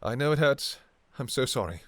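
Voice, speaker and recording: A man playing an anguished, devoted vampire king in a fantasy romance audio roleplay, speaking in halting, pleading, formal old-fashioned phrases. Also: mid-range voice, animated, a bit bright, no room sound, close to an everyday voice. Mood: tender, soothing. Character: anguished, devoted vampire king, obsessive lover and new father.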